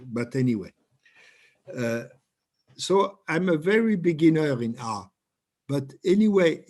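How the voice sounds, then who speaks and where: Slow French-accented male trainer. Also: medium pitch, a little mellow. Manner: slow, halting, repeats words while explaining. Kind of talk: online software training. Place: home room with bookshelves. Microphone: video call.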